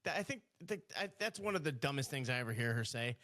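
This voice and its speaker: A man's voice: medium pitch, expressive, quick pace, pushed and bright. A man co-hosting a casual podcast, teasing his guest and rambling with many restarts. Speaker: podcast co-host.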